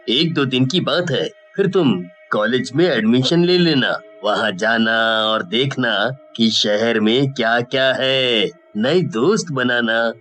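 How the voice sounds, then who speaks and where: A man playing a slow-talking, kindly father. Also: medium pitch. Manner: gentle, reassuring, deliberate. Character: kindly middle-aged policeman father reassuring his daughter. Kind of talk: Hindi animated story dialogue.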